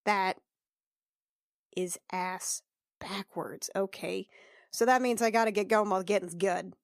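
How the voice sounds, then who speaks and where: A woman's voice: medium pitch, measured pace, dry recording, clean studio sound, lightly acted. A woman playing a self-doubting, plain-spoken young princess and healer in a tabletop game, casual, hesitant and wry.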